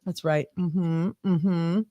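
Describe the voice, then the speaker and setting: A woman's voice: lowish voice, fairly even intonation, drawn-out words, mellow, lo-fi sound. A woman in her fifties co-hosting a live podcast, chatting casually and reading viewer comments aloud, laughing often and swearing freely. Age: 50s. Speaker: podcast co-host.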